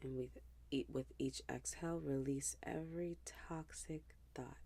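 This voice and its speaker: Woman, meditation guide, very slow pace, creaky low edge, hushed, gentle, soothing scripture-based narration. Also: steady tone. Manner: hushed, gentle, unhurried, with pauses.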